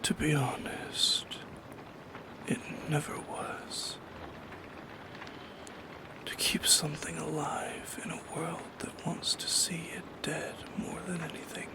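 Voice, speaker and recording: A man's voice: on the high side, little pitch movement. Man as a whispering, lonely shadow creature. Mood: melancholy, tender. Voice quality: breathy whisper. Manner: slow, hushed, poetic, lingering pauses. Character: lonely, yearning shadow creature, male, gently eerie and intimate. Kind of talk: soft-spoken ASMR roleplay monologue.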